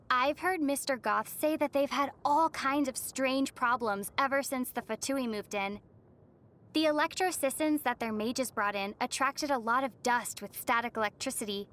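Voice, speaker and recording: A woman playing a gentle, thoughtful young female traveler from another world in scripted anime game dialogue. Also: sits high, moderate pace.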